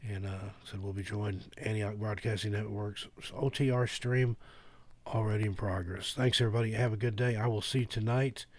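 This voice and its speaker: Low-voiced, informal male radio host. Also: fairly even intonation, moderate pace, no echo. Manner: rambling, informal. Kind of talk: casual live announcing.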